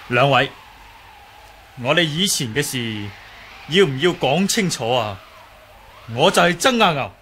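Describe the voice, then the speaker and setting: A young martial hero speaking formal Hong Kong Cantonese. His voice is fairly high and expressive, with measured, slow phrasing. An old radio drama recording with light noise. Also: heavily acted. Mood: challenging. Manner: formal, composed.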